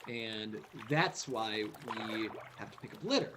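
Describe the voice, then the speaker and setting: A man's voice: fairly low pitch, expressive, unhurried. A man playing an anxious, skeptical young son in a radio play, stammering and full of questions. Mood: incredulous. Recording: noisy recording with rain-like hiss.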